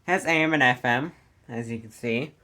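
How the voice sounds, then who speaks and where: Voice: medium pitch, moderate pace, bright, forceful tone. An American speaker with an informal, rambling, matter-of-fact way of talking, showing off a collection in a home video. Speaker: weather radio collector presenting a collection. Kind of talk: casual product showcase vlog. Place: indoor room at home.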